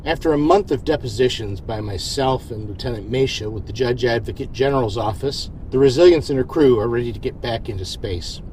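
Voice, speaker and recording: A man's voice: medium pitch, fairly quick, hardly any pauses, a little mellow, slight background noise, close to an everyday voice, poor audio. A man playing an earnest, reflective starship captain in a science fiction audio drama. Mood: formal, composed.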